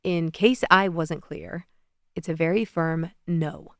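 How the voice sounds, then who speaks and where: Audiobook male lead, played by a woman narrator: smooth, low-key, playful young suitor. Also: normal speed, no echo, clean studio sound, natural voice. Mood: earnest. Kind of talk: audiobook character voice.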